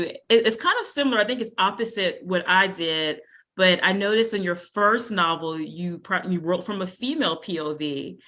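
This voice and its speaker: A woman's voice: lowish voice, bright, forceful tone. A woman author interviewing a fellow writer, friendly and enthusiastic, with casual fillers. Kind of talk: casual conversation.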